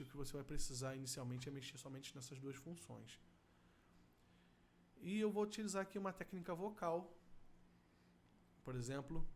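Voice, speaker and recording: A man's voice: on the high side, a little hiss or hum. A middle-aged man with a Brazilian accent, explaining vocal effects as an instructor in a tutorial. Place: small indoor room at a computer.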